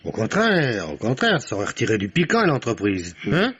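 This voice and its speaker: An actor playing a sardonic middle-aged police commissioner in a French radio mystery, with a dry, ironic delivery. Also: male voice, medium pitch, wildly swooping pitch, brisk, fairly bright tone, breathy edge, full character voice. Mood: ironic, amused. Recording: muffled vintage radio recording.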